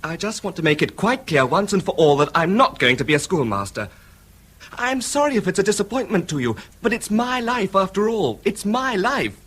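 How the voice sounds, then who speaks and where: A man's voice: high-pitched, very animated, moderate pace, fairly bright tone, husky, full character voice. A man playing a defensive, earnest young son in a British radio drama, insistent yet apologetic, with a British accent. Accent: British English. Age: young adult.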